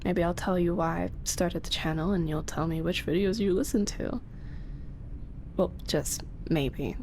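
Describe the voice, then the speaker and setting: A woman's voice: medium pitch, a little hiss or hum, low-quality recording. Woman playing a shy, whispery young roommate. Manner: soft, half-whispered, giggly, halting. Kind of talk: ASMR audio roleplay.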